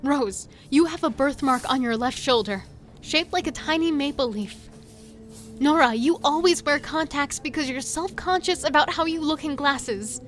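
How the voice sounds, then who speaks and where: A woman's voice: high voice, moderate pace, a bit bright, soft background music. A woman playing a confused, insistent, frightened sister who pleads urgently in an audio horror drama. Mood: earnest, pleading.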